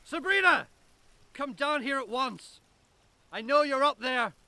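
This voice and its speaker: An actor playing a stern, protective middle-aged headmaster in an audio drama, scolding and commanding, with a Northern Irish accent. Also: male voice, extremely high pitch, animated, measured pace, pushed and bright, no echo, heavily acted. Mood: urgent shouting. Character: stern, protective headmaster guarding his school after apocalypse.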